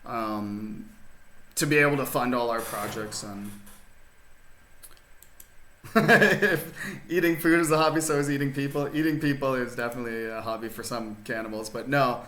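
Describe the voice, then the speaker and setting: A man's voice: medium pitch, steady tone, normal speed, pauses often, a bit bright, a little hiss or hum. A man chatting casually with viewers while streaming a chess game from his rural desert home, rambling and laid-back, full of fillers and restarts. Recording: roomy echo.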